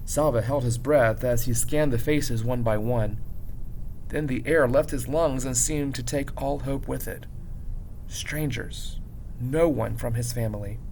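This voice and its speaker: Creaky-voiced man reading a story aloud. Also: mid-range voice, a little mellow, slight background noise, poor audio. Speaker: English teacher reading aloud to a class. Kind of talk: expressive audiobook-style story narration.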